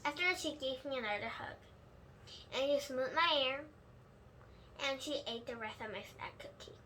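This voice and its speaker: A woman's voice: sits high, moderate pace, sharp tone, slight background noise. A female reader reading a children's book aloud, sometimes stumbling and rereading words. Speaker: storybook reader.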